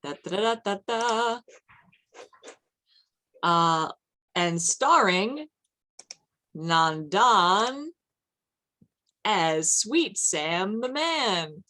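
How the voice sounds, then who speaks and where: A woman with a mid-range voice hosts a live show. She speaks slowly and informally, in the manner of someone announcing credits and cast. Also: medium pitch, expressive, sharp tone. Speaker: host reading credits and introducing cast.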